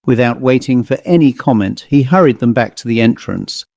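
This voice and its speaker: Mellow male story narrator. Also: medium pitch, animated, fairly quick, vocal fry, no echo. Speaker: audiobook narrator.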